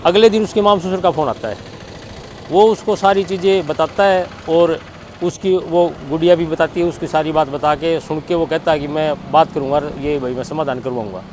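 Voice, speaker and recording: A man's voice: on the high side, normal speed, a little mellow, a little hiss or hum. A middle-aged man with a Haryanvi accent recounting events in a plain-spoken, spontaneous testimony, often quoting what others said. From Haryana, India. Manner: plain-spoken, quotes reported dialogue as he narrates. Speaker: bereaved father giving a statement.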